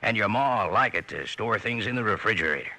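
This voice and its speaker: Lively, gravelly cowboy pitchman. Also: brisk. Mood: chummy, hearty. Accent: American Western. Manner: folksy, hearty, chatty. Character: folksy, chummy male cowboy pal pitchman. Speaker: commercial pitchman. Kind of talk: 1950s radio cereal commercial.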